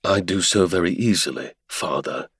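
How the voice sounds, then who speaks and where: A man voicing a cold, menacing young nobleman who speaks low and quietly. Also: lively intonation, moderate pace, breathy edge, dry recording, clean studio sound. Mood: mocking.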